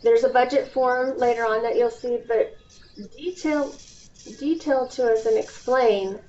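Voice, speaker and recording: A woman's voice: on the high side, steady tone, measured pace, a little mellow. A woman giving an informal, explanatory instructional presentation over a conference phone call, stressing key points as a program presenter. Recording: dull, lo-fi phone call with background hiss.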